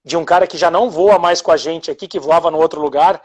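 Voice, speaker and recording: Man, host and pilot, husky voice, reflective with frequent hesitations, video call. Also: fairly high pitch, quick pace. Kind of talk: casual live video chat.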